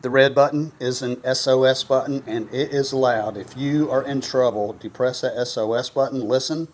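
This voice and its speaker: A man talks through a product in a casual review. He sounds folksy and enthusiastic, with a medium-pitched voice. There is some faint noise behind him. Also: fairly even intonation, normal speed.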